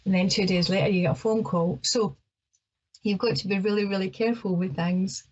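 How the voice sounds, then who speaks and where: A woman's voice: medium pitch, steady tone, fairly quick, lo-fi sound. A middle-aged Scottish woman from Ayrshire hosting a psychic radio show, talking chattily and nervously with lots of fillers. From Scotland. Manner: chatty and hesitant, with many fillers. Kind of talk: casual talk-radio monologue.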